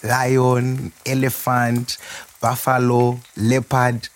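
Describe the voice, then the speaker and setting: An actor playing a proud, warm restaurant owner reminiscing in a theatrical storytelling monologue. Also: male voice, medium pitch, very slow. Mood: welcoming, chatty. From Zimbabwe. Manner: animated, chuckling, theatrical storyteller. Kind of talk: dramatised monologue, storytelling to a customer.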